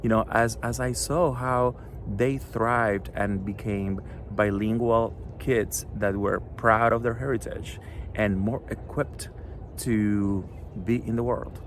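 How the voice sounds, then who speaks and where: A middle-aged man from Cuba, a Spanish native speaker with a Cuban accent, talks earnestly to a school audience about his children's book, pausing often with fillers. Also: lowish voice, steady tone, slight background noise. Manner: earnest, halting, many fillers. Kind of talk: recorded address to a school audience.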